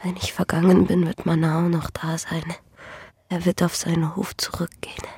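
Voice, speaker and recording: Breathy, husky dying woman. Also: lowish voice, little pitch movement, normal speed, darkish tone, no echo. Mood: bitter, resigned. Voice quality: breathy, weak whispery voice. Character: dying young peasant woman, sorrowful, bitter, wronged. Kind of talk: German radio play acting.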